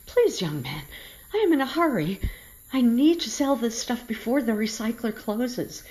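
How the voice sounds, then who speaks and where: A woman's voice: on the high side, very animated, moderate pace, darkish tone. Casting: actress for elderly female vagrant in a horror radio play, plaintive, indignant, given to muttering to herself. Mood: plaintive, anxious. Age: older. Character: weary, indignant homeless old bag lady who mutters to herself. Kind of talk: audio horror drama acting.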